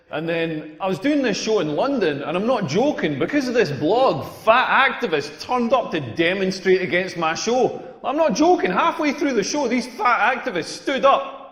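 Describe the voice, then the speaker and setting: A man's voice: high-pitched, fairly bright tone. A man performing crude, rambling stand-up comedy and repeating himself for effect. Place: live comedy venue. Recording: echoey hall with long reverb.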